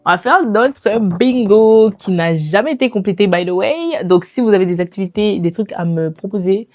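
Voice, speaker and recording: A woman in her twenties chatting casually to viewers as a lifestyle vlogger, in an animated, informal way with slangy phrasing and bits of English mixed in. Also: mid-range voice, moderate pace, dry recording, clean studio sound. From immigrated to Canada. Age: 20s. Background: background music.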